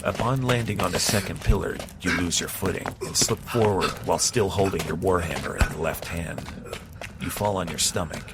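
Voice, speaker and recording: A man giving scripted second-person narration of an action story in a steady, measured way. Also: low voice. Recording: clear background noise.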